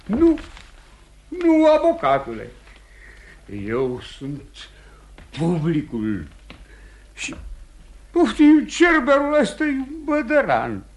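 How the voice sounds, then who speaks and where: A man's voice: very high-pitched, huge pitch swings, long pauses, heavily acted. An actor playing an agitated, defiant man with theatrical, abrupt outbursts in a radio play. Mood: indignant, loud. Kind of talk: mid-century radio theatre acting.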